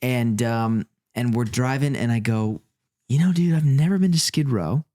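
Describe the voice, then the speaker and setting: A man's voice: medium pitch, moderate pace, warm tone. A man from Colorado hosting a podcast, chatting in an easygoing, conversational way. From Colorado, United States. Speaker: podcast host, actor. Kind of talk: casual chat.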